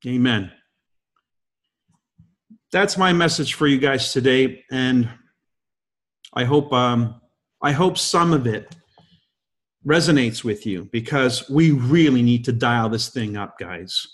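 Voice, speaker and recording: A man's voice: mid-range voice, expressive, slow pace, pauses often. A man in his fifties, guest-hosting a leadership call, giving a motivational talk full of emphatic rhetorical questions. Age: 50s. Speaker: guest host.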